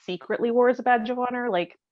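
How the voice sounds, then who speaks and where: A woman chatting casually in a candid, animated, joking way. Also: a little high, fast talker, no room sound. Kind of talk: casual chat. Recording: close, dry, slightly dull audio.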